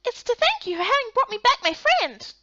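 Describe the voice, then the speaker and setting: Sweet, eager young boy played by a woman, very high and lively, visual novel dub, slightly dull audio. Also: brisk, no room sound, heavily acted. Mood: eager, cheerful. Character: excitable, sweet young boy with a lost pet.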